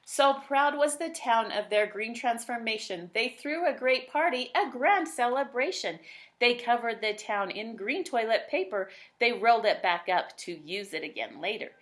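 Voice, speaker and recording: Lively, higher-pitched woman reading a storybook. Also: moderate pace. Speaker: teacher reading aloud. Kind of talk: expressive children's storybook read-aloud.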